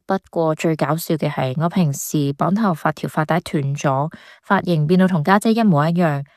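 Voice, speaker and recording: An actress as a mellow, confiding young woman. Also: low voice, moderate pace, no echo, clean studio sound, close to an everyday voice. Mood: light, confessing. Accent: Hong Kong Cantonese. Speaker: voice actor. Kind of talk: radio drama acting, confiding.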